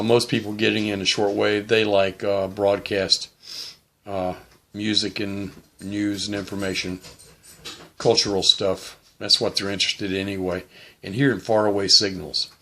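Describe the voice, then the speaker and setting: A middle-aged man with a lowish, gravelly voice that has a firm, bright edge. He talks like a hobbyist showing beginners the ropes on his vlog. His delivery is plain-spoken, unhurried and casual. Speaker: vlogger, shortwave radio hobbyist. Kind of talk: casual explanatory demonstration.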